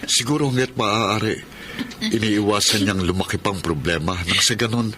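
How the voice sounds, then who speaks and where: Actor as low-voiced, consoling husband. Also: male voice, moderate pace, background noise, close to an everyday voice. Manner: consoling, reasoning. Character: supportive, worried husband, calm voice of reason. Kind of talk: Tagalog radio drama acting.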